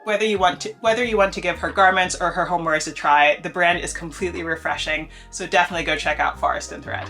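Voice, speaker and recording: Young woman sewing vlogger, kinda congested, chirpy and excited, um-ing and like-ing a lot. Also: on the low side, quick pace, hardly any pauses, faint music behind. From United States. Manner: enthusiastic, chatty, with fillers like um and like. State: congested from allergies. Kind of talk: casual upbeat vlog talk.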